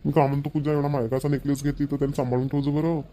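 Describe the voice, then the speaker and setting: A man's voice: on the high side, flat delivery, very fast, dark tone, natural voice. A man voicing a young husband eager to please, with an agreeable, admiring manner.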